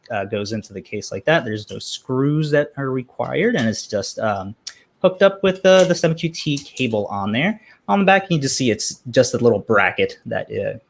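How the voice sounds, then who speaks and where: A man with a medium-pitched voice presents a project. His delivery is casual and informal, like a relaxed show-and-tell among colleagues.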